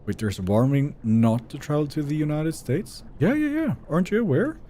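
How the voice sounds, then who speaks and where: A male game streamer, raised in Sweden with Finnish as his first language, chats his way through a live session. His voice is mellow and soft-edged, yet his pitch bounces around a lot as he reacts to viewers. There is a faint hiss behind him. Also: animated, moderate pace. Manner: chatty, reads viewer messages aloud and answers them. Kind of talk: casual live-stream chat while gaming.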